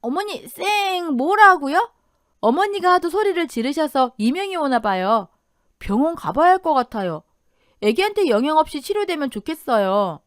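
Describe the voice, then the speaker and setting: A woman's voice: high-pitched, very animated, moderate pace, dry recording, clean studio sound, voice pushed up. A woman voicing a plucky adult daughter, pert and defiantly polite, in a radio story. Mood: mock innocent.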